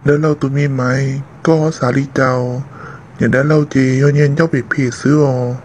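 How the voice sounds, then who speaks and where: A man voicing a male character in an amateur animated cartoon drama. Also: medium pitch, normal speed, a little hiss or hum, close to an everyday voice. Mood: conversational. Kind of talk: hobby animated cartoon dialogue.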